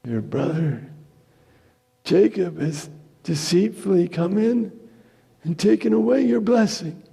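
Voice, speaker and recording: A man playing a solemn old blind father who gives slow, weighty blessings in a dramatic scripture reading. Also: high-pitched, animated, vocal fry, dark tone, slightly rough, pitched above the performer's own voice, full character voice. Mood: grave, sorrowful. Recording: reverberant church hall.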